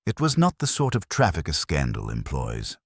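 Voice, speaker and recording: A man narrating a mystery story in a measured, dry, literary way. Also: extremely low pitch, wildly swooping pitch, dry recording.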